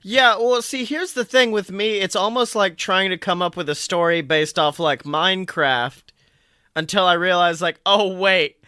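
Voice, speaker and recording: A male podcast host with a high, bright voice. He chats casually and jokes around, sometimes swearing. The sound is dry and close. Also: normal speed, smooth, no room sound. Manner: joking, uses profanity. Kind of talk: casual banter about a video game.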